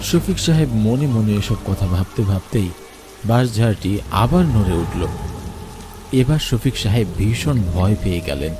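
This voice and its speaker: A man's voice: on the low side, animated, a little slow, noisy background. A man narrating a scripted horror story for a cartoon.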